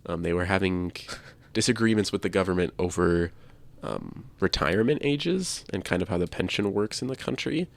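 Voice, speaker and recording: A man's voice: deep voice, expressive, moderate pace, creaky, no echo. A man with a stuffy nose, chatting casually as a podcast co-host. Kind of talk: casual chat.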